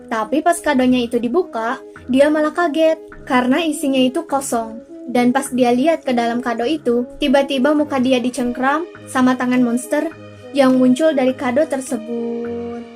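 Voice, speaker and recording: A woman's voice: high-pitched, a little mellow. A young woman narrates short spooky story recaps in a casual, chatty way, speaking straight to viewers and stretching some words for emphasis. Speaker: storyteller and channel host. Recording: voice-over with faint music underneath. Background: faint background music.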